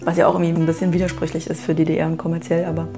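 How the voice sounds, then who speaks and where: A middle-aged woman from the former East Germany, hosting a podcast with spoken introductions and relaxed interviewing. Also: sits low, very fast, music in the background. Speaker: podcast host.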